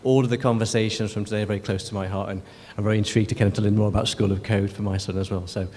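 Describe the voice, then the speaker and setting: A man's voice: lowish voice, level tone, rapid-fire, mellow, a little hiss or hum. A man, a company vice president, giving a conversational speech at an event and often saying "kind of". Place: large event hall. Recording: long hall reverb.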